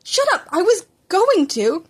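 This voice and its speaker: A woman's voice: extremely high pitch, very animated, a little slow, pitched above the performer's own voice, full character voice. A woman voicing an earnest, self-doubting teenage boy who rambles hesitantly and talks back, in a fan fiction audiobook. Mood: defensive. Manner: hesitant rambling with sarcastic retorts.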